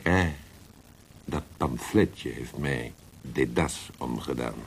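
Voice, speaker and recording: An actor playing a worldly, scheming Italian poet in a radio drama, with an Italian accent, a gravelly, husky voice and a theatrical, halting delivery sprinkled with Italian words. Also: male voice, a bass voice, slight background noise, heavily acted. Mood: rueful, wry.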